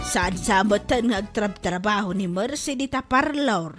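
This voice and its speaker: A woman acting a nagging mother in a comedy drama, with a shrill scolding way of talking. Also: expressive, moderate pace, over music, close to an everyday voice. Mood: worried. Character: nagging middle-aged mother, scolding her daughter.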